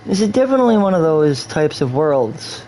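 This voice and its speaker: A man's voice: fairly high pitch, big pitch swings, moderate pace, mellow, a little hiss or hum. A man from New York rambling through casual game stream commentary, repeating himself and slipping in and out of a put-on English accent. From New York, USA.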